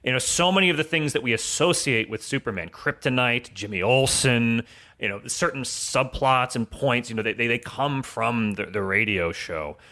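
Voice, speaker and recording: A man with a bright, forward voice talks as a guest on a podcast. He explains things conversationally, laying out his commentary in measured phrases. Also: mid-range voice.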